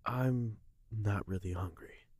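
A man playing a heartbroken, guilt-ridden young captain in a fantasy audio drama, speaking haltingly with raw emotion and a husky voice. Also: on the low side, darkish tone, no room sound, studio quality. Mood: withdrawn, curt.

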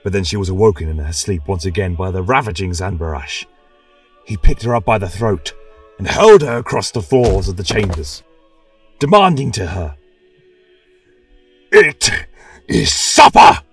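A man's voice: sits low, expressive, normal speed, husky, recorded from a distance, soft background music. A man narrating a scripted tale as a religious chronicler, in a solemn, reverent, theatrical style. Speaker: storyteller and podcast host.

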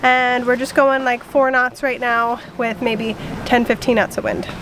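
A woman's voice: on the high side, fairly even intonation, measured pace, fairly bright tone, poor audio. A woman in her thirties chatting casually and a little loosely to a camera held close, out in an open sailboat cockpit. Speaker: sailing vlogger. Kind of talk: casual vlog update to camera. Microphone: camera at arm's length. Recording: clear wind and boat noise.

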